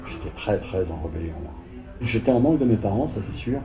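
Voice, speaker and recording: A man's voice: lowish voice, expressive, fast talker. An older man from French Polynesia and Vietnam, casually looking back on his life in conversation. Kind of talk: casual reminiscing chat. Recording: roomy echo with music behind.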